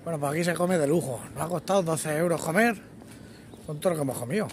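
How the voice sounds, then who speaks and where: A middle-aged truck driver talks casually into a phone held at arm's length. His voice sits high for a man. Loud wind and traffic noise surround him by the roadside. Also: fast. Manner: chatty, greets viewers as artists. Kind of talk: casual vlog chat to camera. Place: outdoors by trucks and roadside, windy. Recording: noisy outdoor phone audio.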